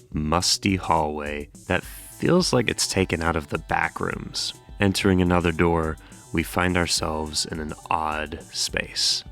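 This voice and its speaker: Deep gravelly man narrating wryly. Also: fairly bright tone. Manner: wry, jokey asides with swearing. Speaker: host of horror series explainer videos. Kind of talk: casual scripted narration with off-the-cuff commentary.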